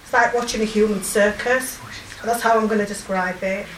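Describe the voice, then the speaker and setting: A woman in her forties with a strong Yorkshire accent, telling of her volunteer work in a halting, emotional way with many pauses and fillers. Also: medium pitch, fairly even intonation, echoey room, distant mic, slight background noise, low-quality recording. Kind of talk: personal testimony.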